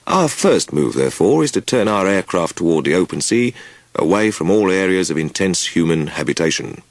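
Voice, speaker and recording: A man's voice: low voice, animated, moderate pace, dry recording. A man voicing a by-the-book air force sergeant in an audiobook, lecturing pilots in a formal, clipped, procedural manner. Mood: formal, instructive. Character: crisp, by-the-book male RAF sergeant instructor lecturing pilots.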